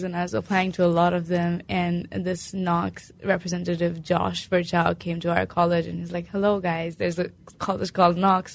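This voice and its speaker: A woman's voice: fairly low pitch, gravelly. A young woman from Nepal, a college student and club treasurer, talking casually with "like" fillers on a radio show. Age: young adult.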